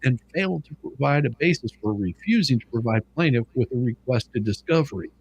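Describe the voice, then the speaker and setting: A man's voice: medium pitch, animated, fairly quick, slight background noise, rough recording. A man reading legal documents aloud and adding casual, blunt commentary, sometimes stumbling over the formal wording, as co-host of a livestream.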